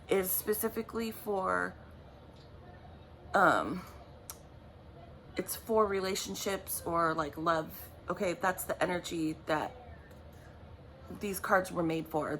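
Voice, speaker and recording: A woman giving a tarot reading in a chatty, rambling way, apologizing often, her voice raspy from just waking up. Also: lowish voice, a bit bright, a little hiss or hum. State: raspy morning voice. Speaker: tarot reader. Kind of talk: casual vlog talk.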